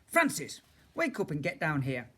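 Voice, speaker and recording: A man voicing a storybook dad who hollers loudly up a speaking tube to his son. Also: wildly swooping pitch, normal speed, husky, full character voice. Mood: impatient shouting. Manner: loud, high-pitched calling.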